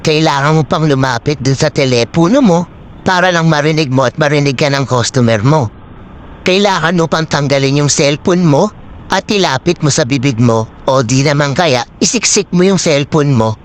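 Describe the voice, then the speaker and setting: A man's voice: fairly high pitch, lively intonation, moderate pace. A Filipino man speaking in an upbeat promotional vlog style, addressing his followers with peppy hype. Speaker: vlogger promoting a giveaway.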